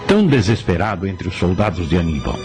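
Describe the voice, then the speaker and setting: A man's voice: low-pitched, sing-song, fast, music in the background. A man playing a curious questioner in a radio drama, with an inquisitive way of talking.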